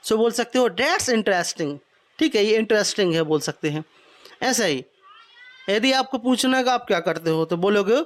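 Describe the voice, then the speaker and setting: A man in his twenties from Madhya Pradesh teaching English phrases, explaining in Hindi and repeating each phrase slowly. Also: sits high, fairly bright tone. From Madhya Pradesh, India. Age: 20s. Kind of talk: spoken English lesson.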